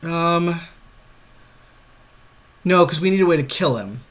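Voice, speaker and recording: A man's voice: a little high, animated, slow, a bit bright. A man co-commentating on an online card game in a casual, informal way, often with brief replies. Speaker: podcast co-host. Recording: noisy amateur screen-capture recording.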